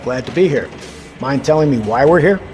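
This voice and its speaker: A man's voice: mid-range voice, expressive, a little fast, darkish tone, faint music behind, close to an everyday voice. An American man playing a commanding, wary Navy submarine captain in an audio drama, with clipped, authoritative military delivery. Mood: guarded, probing. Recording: clear ambient background noise.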